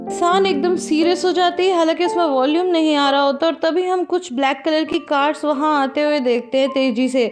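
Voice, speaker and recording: A high-pitched woman narrates a plot recap in a chatty, conversational way, turning now and then to speak straight to her viewers. Clear music plays behind her voice throughout. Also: fairly even intonation, a little fast. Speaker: drama recap host. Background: background music.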